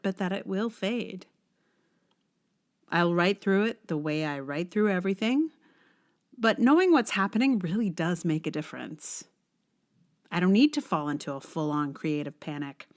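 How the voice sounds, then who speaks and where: A middle-aged woman hosting a personal podcast, speaking in an expressive, conversational way with emphasis on key words. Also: mid-range voice. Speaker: podcast host and writer. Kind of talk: personal podcast, chatting and reading own blog aloud.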